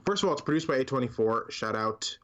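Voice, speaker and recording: A man's voice: mid-range voice, animated, fast, a bit bright, dull audio. A man co-hosting a podcast, chatting casually about movies in an enthusiastic, informal and emphatic way. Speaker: podcast co-host.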